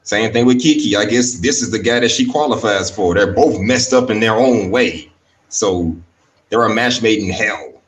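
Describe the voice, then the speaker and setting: A man's voice: on the low side, normal speed. A man giving a critical, colloquial opinion monologue as a panel guest. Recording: distant from the mic.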